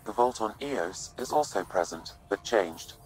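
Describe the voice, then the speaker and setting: An actor playing a calm, precise artificial intelligence assistant in a video game, formal and factual. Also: male voice, on the low side, measured pace, soft background music, rough recording.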